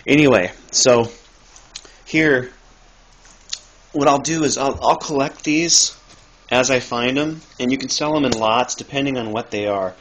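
A man giving a casual, rambling how-to talk in a halting, improvised way, recorded on a handheld phone indoors. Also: mid-range voice, fairly bright tone. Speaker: video maker, thrift reseller. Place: cluttered dim room indoors. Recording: noisy amateur phone recording.

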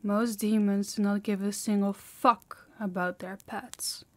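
Female demon, played by a woman, audio roleplay: harsh, commanding, possessive, unhurried delivery. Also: medium pitch, fairly even intonation, darkish tone, no echo, lightly acted. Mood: cold, threatening. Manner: slow, haughty, commanding, taunting. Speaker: voice actor. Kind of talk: intimate scripted audio roleplay.

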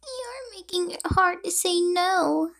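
A bashful, hot-tempered young witch for an animated fan dub. The voice is extremely high and swoops about, full of flustered, proud protest. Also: slow, gravelly, full character voice.

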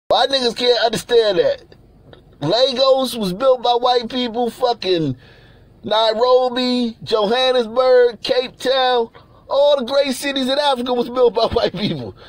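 A man's voice: extremely high pitch, no room sound. A man from Nigeria giving blunt, profane, repetitive commentary over pictures, often laughing between points.

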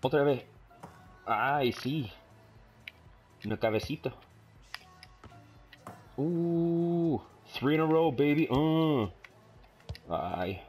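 A man's voice: a little high, extremely slow, long pauses, faint music behind. A man with English as his first language and a Chilean-tinged Spanish accent, casually commentating a football video game, hesitating often and switching between Spanish and English. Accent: Chilean Spanish, English-speaking learner. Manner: mixes Spanish with English, hesitant, frequent fillers; bursts of loud excitement at plays. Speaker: gaming YouTuber.

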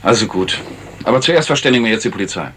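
An actor playing a wary, practical man in a 1970s German radio crime play, brisk and sceptical. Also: fairly low pitch, animated, a bit bright, a little hiss or hum.

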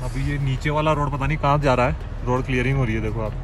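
A man in his thirties narrating a casual, excited travel vlog to his viewers while driving a car along a mountain road. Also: mid-range voice, quick pace, over music. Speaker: travel vlogger.

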